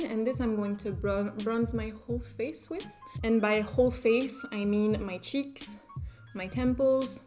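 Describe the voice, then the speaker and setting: A woman's voice: medium pitch, a little mellow. A young woman with a French Canadian accent, chatting casually through a makeup tutorial just after waking up, self-deprecating and easygoing. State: just woke up. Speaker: beauty vlogger.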